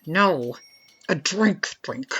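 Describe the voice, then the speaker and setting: Sharp-voiced imperious boss. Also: female voice, fairly low pitch, expressive, very slow, slightly rough, full character voice. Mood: weary. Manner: commanding, curt. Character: imperious, world-weary female boss. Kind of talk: audio drama acting.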